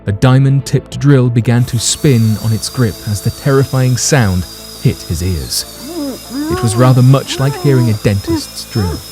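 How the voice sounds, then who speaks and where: A man's voice: mid-range voice, unhurried, over music. A man narrating a scripted audio drama in a grave, theatrical storytelling manner.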